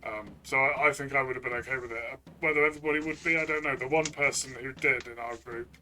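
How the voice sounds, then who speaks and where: A man's voice: medium pitch, steady tone, fast talker, pushed and bright, far from the mic. A man casually discussing a roleplaying game as one of its players, giving his opinions tentatively.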